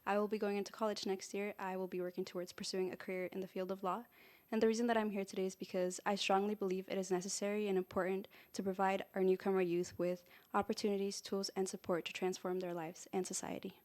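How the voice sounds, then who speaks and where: A woman's voice: mid-range voice, level tone, fast talker, darkish tone. A teenage girl from Mexico giving a composed, prepared speech as a student presenter. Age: teens.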